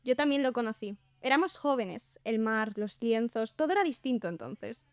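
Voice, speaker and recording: A woman's voice: on the high side, animated, moderate pace, dry recording. A woman playing a bold, defiant and affectionate painter in an audio drama, with an emphatic delivery. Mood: nostalgic. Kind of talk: scripted audio drama dialogue.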